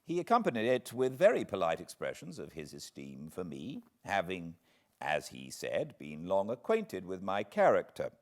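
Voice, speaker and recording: Deep-voiced British man reading expressively. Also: huge pitch swings, normal speed. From Britain. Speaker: audiobook narrator. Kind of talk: expressive literary audiobook reading.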